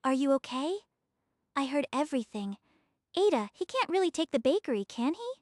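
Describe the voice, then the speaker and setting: A woman's voice: high voice, sing-song, normal speed, no room sound, clean studio sound. A woman voicing a concerned, caring young female friend in an anime-style manga dub. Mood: worried.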